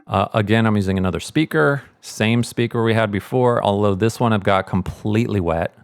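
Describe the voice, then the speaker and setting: A man with a fairly low voice walks through a software demonstration. He talks casually, thinking aloud as he goes, in a very dry recording with no room sound. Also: fairly quick, gravelly, clear, resonant tone, dry recording. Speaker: sound mixer giving a tutorial.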